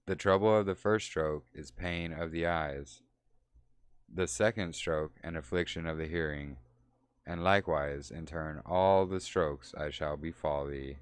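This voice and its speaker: Guy reading as angry god handing down judgment, deep, slow, stern. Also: smooth, no echo. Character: wrathful stern male deity.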